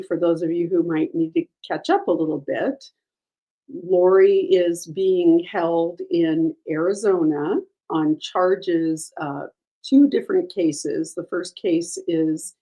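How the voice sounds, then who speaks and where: A woman hosting a true crime show, hoarse and gravelly from a lingering cold, going over a case with frequent ums and uhs. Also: deep voice, warm tone, poor audio. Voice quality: gravelly, froggy voice. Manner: careful, with many ums and uhs. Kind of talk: casual case update.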